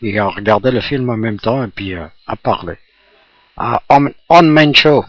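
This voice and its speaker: A man's voice: mid-range voice, expressive, moderate pace, dry recording, muffled recording. A jovial man chatting casually as an amateur radio operator, talking in a rambling, hesitant way.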